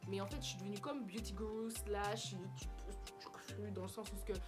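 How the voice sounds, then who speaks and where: A woman's voice: medium pitch, little pitch movement, normal speed, over music. A seventeen-year-old woman from Belgium, chatting casually as a vlogger and mixing in English phrases. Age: teens. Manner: chatty, mixes in English phrases, many fillers. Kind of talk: casual chat.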